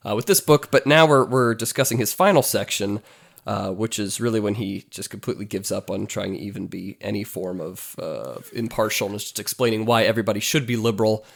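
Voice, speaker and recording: Congested man, quick, slightly low, creaky voice. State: recovering from a cold, congested. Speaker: podcast co-host. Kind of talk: casual book discussion.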